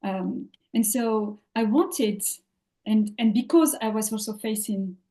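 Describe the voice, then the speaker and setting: Woman, warm mellow tone; halting, reflective interview answers. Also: mid-range voice, rough recording. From France. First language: French. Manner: thoughtful, halting, many fillers and restarts. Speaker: podcast guest, leadership coach. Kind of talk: interview conversation.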